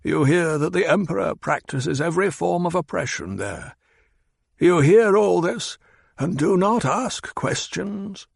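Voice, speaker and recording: A man voicing a cold, sardonic old advisor who speaks in clipped, cutting sentences, pitched higher than the narration. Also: animated, a little slow, gravelly, breathy edge, no echo, clean studio sound, exaggerated voice. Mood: scornful. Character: cold, scornful old male mentat advisor.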